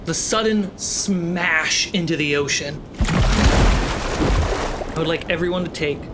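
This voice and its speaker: A game master narrating a tabletop adventure dramatically, with deliberate, suspenseful pauses. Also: mid-range voice, very noisy.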